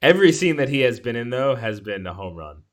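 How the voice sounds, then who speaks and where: A man chatting casually as a podcast co-host about film, halting and rephrasing as he goes. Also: medium pitch, sing-song. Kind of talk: casual film discussion chat.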